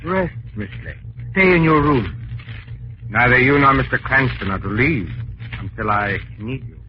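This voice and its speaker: An actor playing a sinister castle villain in old-time radio drama, suave, deliberate and menacingly polite. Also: male voice, mid-range voice, expressive, lightly acted. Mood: silky false courtesy. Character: sinister, falsely courteous castle-dwelling villain. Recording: muffled, hissy old radio drama recording.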